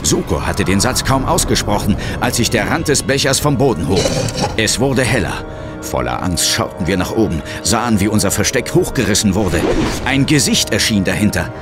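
Casting: male actor as intense ghost hunter, first-person horror narration, fairly low, highly expressive. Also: moderate pace, natural voice. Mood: suspenseful. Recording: dramatic music underneath.

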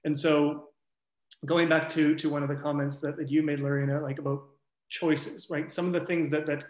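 A man with a slightly high, flat voice talks through his research on a panel. He is thoughtful and loose, often searching for the right words. The sound is roomy and echoey. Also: normal speed. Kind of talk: academic panel discussion. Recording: echoey room.

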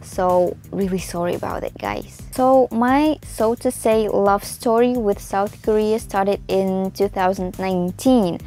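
A woman in her mid-twenties from Russia, a native Russian and Tatar speaker, talks in a chatty, expressive way with a Russian accent in a casual vlog to camera. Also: mid-range voice, unhurried, few pauses. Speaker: vlogger. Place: small room indoors. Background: music.

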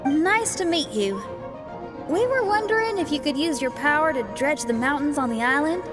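Young female musician, high voice, eager, folksy, earnest, video game, music underneath. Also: expressive, moderate pace. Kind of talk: scripted video game dialogue.

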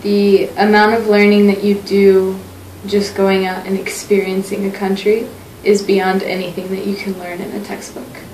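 A woman's voice: medium pitch, completely flat delivery, moderate pace, hardly any pauses, a little mellow. A young woman university student giving a casual interview testimony in a small office. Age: young adult. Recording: roomy, distant mic, faint room noise.

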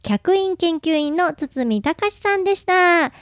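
A woman's voice: extremely high pitch, animated, takes it slowly, very noisy. A woman working as a radio host, presenting announcements and chatting with her co-host. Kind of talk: radio hosting, announcements and chat. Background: background music.

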